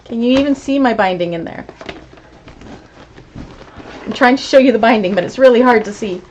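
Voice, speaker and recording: Upbeat lady chatting thru a bag sewing lesson on a livestream, a bit high voice. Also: slight background noise, rough recording. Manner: chatty, encouraging, reading out viewer comments. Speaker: sewing instructor. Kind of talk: live online class.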